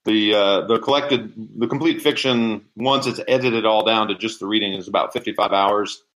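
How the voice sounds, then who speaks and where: Male guest with a slightly low voice, explaining things conversationally in an interview. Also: steady tone, moderate pace, a bit bright, low-quality recording. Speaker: interview guest, society co-founder. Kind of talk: casual explanatory interview talk.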